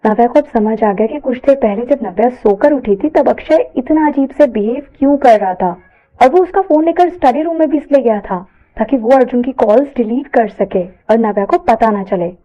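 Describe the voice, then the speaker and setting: A woman reading a scripted story aloud as an expressive narrator. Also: on the high side, normal speed, dull audio.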